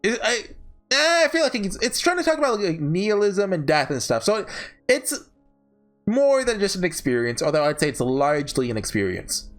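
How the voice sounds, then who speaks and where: A man's voice: high voice, big pitch swings, normal speed, fairly bright tone. A man giving casual, off-the-cuff ranking commentary, rambling and often backtracking mid-sentence, with emphatic, enthusiastic fan opinions. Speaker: host ranking visual novels. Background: background music.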